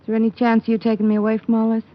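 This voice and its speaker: A woman's voice: a little high, monotone, very fast, lo-fi sound, natural voice. Woman playing a brash, wisecracking diner waitress. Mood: brash, flirty. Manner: blunt, wisecracking. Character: brash, flirty female coffee shop waitress. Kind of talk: 1950s radio detective drama acting. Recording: thin, band-limited old radio recording.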